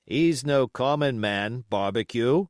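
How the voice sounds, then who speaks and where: A man voicing an admiring old ship's coxswain with rough, colloquial sailor's talk in a storybook reading. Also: medium pitch, expressive, takes it slowly, dry recording, clean studio sound.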